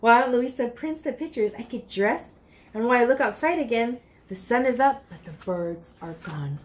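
High-voiced woman reading to children. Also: normal speed. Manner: expressive, addresses children directly. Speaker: library storytime reader. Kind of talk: children's picture-book read-aloud. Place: furnished room indoors.

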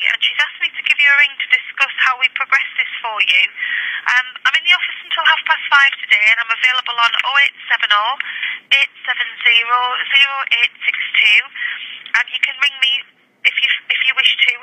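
A woman's voice: fairly high pitch, bright, forceful tone. A British woman working in customer service, speaking in a colloquial, blunt way on a tinny voicemail phone recording. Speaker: customer service executive office staffer. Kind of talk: phone message and casual office chat. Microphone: telephone.

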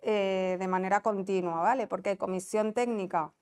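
A woman's voice: medium pitch, fairly even intonation, moderate pace, a little mellow, smooth. A woman with a non-Canarian Spanish accent giving an informal conference presentation, explaining conversationally and checking that listeners follow. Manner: explanatory, conversational, checks understanding with tag questions. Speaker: conference presenter, business incentive adviser. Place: small indoor conference room. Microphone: lapel mic.

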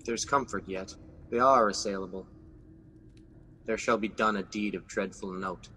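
A brooding guilty king, low voice, bright forceful tone, reverberant radio play. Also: fairly even intonation, normal speed, a little hiss or hum. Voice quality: brooding, intense. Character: Macbeth, a guilt-ridden scheming Scottish king. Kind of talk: classic radio drama acting.

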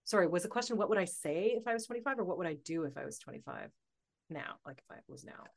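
A woman's voice: fairly low pitch, lively intonation, quick pace, creaky, darkish tone. A woman in her forties, a guest on a podcast, chatting casually and thinking aloud, with frequent laughter. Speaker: podcast guest, author and coach. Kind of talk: casual interview chat.

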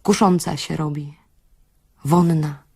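A woman playing a frank, bossy beautician in an audio play monologue, confiding and wry, with theatrical pauses and an earthy, tender streak. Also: deep voice, fairly even intonation, dark tone, husky, exaggerated voice. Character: frank, bossy female beautician parodying a self-help workshop leader, tender and earthy.

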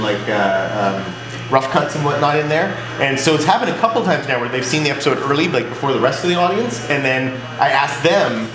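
Animated man in a noisy room. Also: fairly high pitch. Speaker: web series writer. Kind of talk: casual chat. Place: restaurant back room, indoors. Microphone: handheld camera mic. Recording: echoey room with loud chatter.

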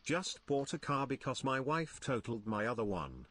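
A computer-generated male voice reading out messages. It keeps a flat, even delivery with no human warmth. The pitch sits in a middle range. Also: normal speed, a little hiss or hum. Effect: text-to-speech voice. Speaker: text-to-speech donation reader. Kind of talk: reading viewer messages aloud.

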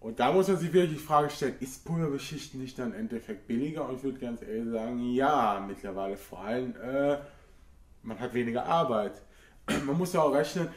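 A man's voice: medium pitch, darkish tone. A man in his thirties from Germany talks through car parts in a home garage. He has a cold and fills his sentences with plain hesitation sounds. His mid-range voice rings in an echoey workshop, picked up by a nearby camera mic. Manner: talkative, frequent filler sounds, matter-of-fact. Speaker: car hobbyist YouTuber. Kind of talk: casual explanatory vlog.

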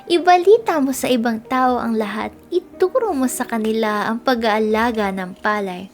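A Filipino-accented woman voicing cheerful, gentle field grasses that instruct in a melodious, earnest storybook manner. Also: a little high, expressive, moderate pace. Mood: cheerful, guiding.

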